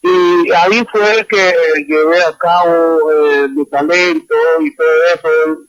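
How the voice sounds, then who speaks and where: A man from Honduras rambles easily through his story, full of fillers and asides. His voice sits somewhat high. It comes over a dull, dry remote line with little room sound. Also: slow pace, dry recording. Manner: relaxed, rambling with many fillers. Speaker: guest musician. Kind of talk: online class interview, casual storytelling. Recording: dry, dull lo-fi call audio.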